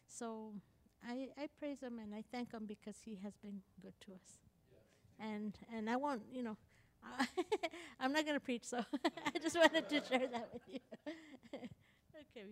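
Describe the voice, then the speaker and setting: A woman in her forties giving thanks in a church testimony. Her voice sits a bit high. She speaks haltingly, repeats herself, and giggles often. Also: a bit bright. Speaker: speaker's wife sharing testimony.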